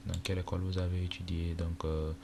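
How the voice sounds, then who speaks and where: A young man from Chad whose first language is Arabic, casually talking through a screen-recorded walkthrough with many pauses and fillers. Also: deep voice, level tone, gravelly. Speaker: tutorial presenter. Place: indoor room at a computer. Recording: noisy home recording with keyboard clicks.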